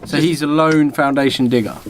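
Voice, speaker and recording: A man's voice: fairly high pitch, fairly quick, a little hiss or hum. A man chatting casually as co-host of an improvised comedy podcast, with jokey, sweary banter.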